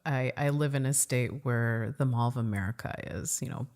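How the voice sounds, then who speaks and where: A middle-aged woman hosting a podcast interview, speaking in a relaxed way with frequent murmurs of agreement and filler words. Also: very deep voice, moderate pace. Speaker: podcast host. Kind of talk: casual interview chat.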